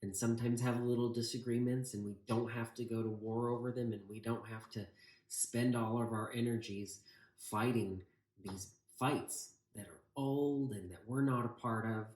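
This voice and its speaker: A man's voice: medium pitch, steady tone, moderate pace, a little mellow. A man with an American accent reading a storybook aloud and talking informally to listeners. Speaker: city council member reading to children. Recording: echoey room.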